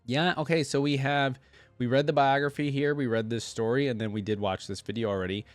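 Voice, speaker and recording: A man's voice: mid-range voice, steady tone, fairly quick, dry recording. A man with an everyday mid-range voice hosts a casual reaction video. He talks informally and chattily, like a keen fan. The sound is close and dry, with faint music behind him. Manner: chatty, informal, easily impressed fan talk. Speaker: YouTube reaction host. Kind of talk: casual reaction commentary, reading wiki aloud.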